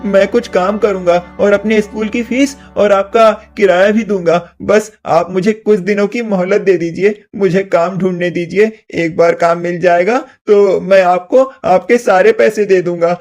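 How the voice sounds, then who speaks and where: A man performs a poor little orphan boy for a cartoon, earnest and plaintive. The voice is very high, with some music playing behind. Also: steady tone, normal speed, few pauses, darkish tone, natural voice. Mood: pleading. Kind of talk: Hindi moral-story cartoon dub.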